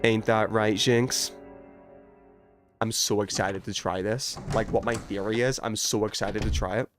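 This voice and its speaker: A man doing casual, excitable gaming commentary, thinking aloud in slang as he chats with stream viewers. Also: lowish voice, measured pace, music in the background. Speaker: video game livestreamer.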